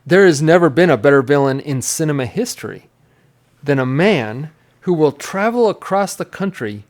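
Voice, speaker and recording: A man's voice: a little high, animated, normal speed. A middle-aged man co-hosting a casual chat with his son, joking and playfully emphatic. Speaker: co-host and father.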